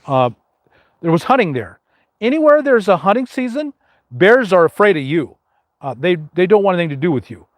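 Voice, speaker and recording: A man's voice: high-pitched, sing-song, fairly quick, no echo, studio quality. An older man with a big, carrying voice hosts a casual live question-and-answer stream, rambling with many fillers and restarts.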